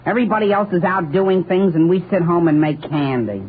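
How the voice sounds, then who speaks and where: A man's voice: high-pitched, fairly quick, natural voice. An actor playing an eager, exasperated teenage boy in a plaintive 1940s radio comedy style. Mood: bored, plaintive. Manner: plaintive, dramatic complaining. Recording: tinny, noisy old radio broadcast.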